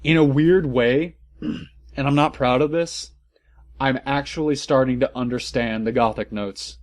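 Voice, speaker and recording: A man's voice: medium pitch, steady tone, unhurried. A man giving casual comic commentary as host of a reading series, with a wry, offhand manner.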